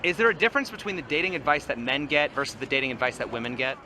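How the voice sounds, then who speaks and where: A man's voice: medium pitch, very fast, background noise. A man interviewing people on a city street, asking probing, leading questions. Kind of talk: rapid questioning. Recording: outdoor street with crowd.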